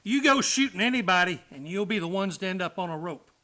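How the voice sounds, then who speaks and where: A man's voice: high voice, expressive, fast, bright, forceful tone. A man playing a firm, protective frontier town doctor in a Western radio play, plain-spoken. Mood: wary, firm.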